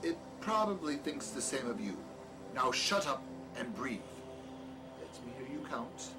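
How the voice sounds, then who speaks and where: A man's voice: mid-range voice, very animated, measured pace, rough recording. A man playing a peculiar, condescending doctor with a dry, sardonic manner in an audio drama, secretly sinister. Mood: impatient, scornful. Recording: music behind the voice.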